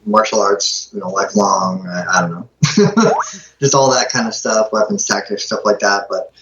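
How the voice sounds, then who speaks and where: Low-voiced man in a muffled, roomy recording. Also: fairly even intonation, moderate pace, fairly bright tone, slight background noise. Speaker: guest voice performer and show host. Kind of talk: casual chat. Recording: muffled, roomy call audio.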